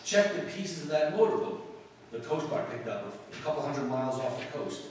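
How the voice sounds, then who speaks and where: A man's voice: a little high, sing-song, normal speed, darkish tone. A man playing a wisecracking newspaper reporter in 1940s radio drama, casual and wry. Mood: resigned. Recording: echoey hall, distant mic, faint noise.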